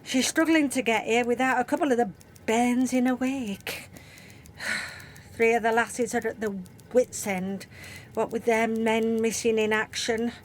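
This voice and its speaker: A woman's voice: a little high, fairly even intonation, a little slow, a little hiss or hum, lightly acted. A woman playing a tired, caring wartime factory supervisor, speaking a diary monologue in a radio play. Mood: weary, worried. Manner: weary.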